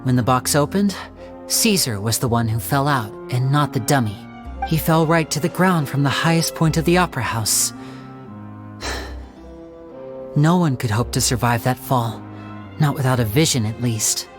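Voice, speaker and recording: An actor voicing a suave, theatrical young stage magician in a video game, explaining a trick in a smooth, measured way. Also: male voice, medium pitch, expressive, natural voice. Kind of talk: video game cutscene dialogue. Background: soft background game music.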